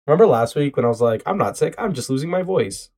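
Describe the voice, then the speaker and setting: A man's voice: mid-range voice, fast. A young man with a stuffy nose from a cold, hosting a casual podcast chat with friends and joking around. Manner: joking, rambling, animated. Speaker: podcast host.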